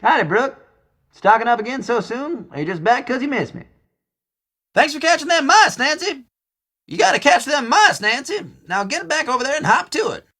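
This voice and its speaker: An actor playing a folksy, chatty small-town storekeeper in a video game, with an American country drawl and drawn-out homespun phrasing. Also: male voice, extremely high pitch, expressive, bright, forceful tone, heavily acted. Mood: flirty, friendly, then bossy. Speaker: storekeeper character. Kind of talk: video game character dialogue.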